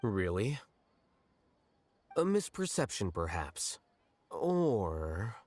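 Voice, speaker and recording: A man playing an aloof, blunt scholar-official in a video game, speaking in a dry, measured deadpan. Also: on the low side, no room sound, heavily acted. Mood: indifferent.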